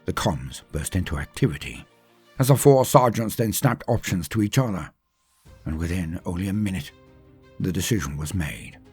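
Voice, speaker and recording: A man's voice: low-pitched, animated, normal speed, a little mellow, breathy edge. A man reading a scripted story as a dramatic narrator, with theatrical, emphatic phrasing that drops away at line ends. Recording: music under the voice.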